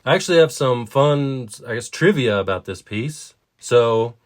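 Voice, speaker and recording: A man's voice: medium pitch, animated, a little slow. A man co-hosting a writing podcast, talking through a story in a reflective, explanatory way. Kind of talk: conversational literary discussion.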